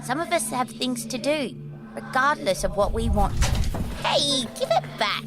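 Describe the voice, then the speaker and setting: A high, bouncing voice of a busy, sharp young girl, acted by a woman, scolding and impatient as she speaks. She talks slowly and deliberately in an audio drama, with music running underneath. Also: lively intonation. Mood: exasperated. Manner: exasperated, scolding, chasing a cat.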